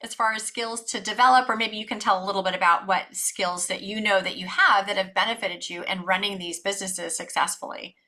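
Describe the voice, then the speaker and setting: A woman's voice: mid-range voice, steady tone, fairly quick, hardly any pauses, bright, forceful tone. A woman hosting an interview over a video call, asking her guest questions with curious interest. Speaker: interview host.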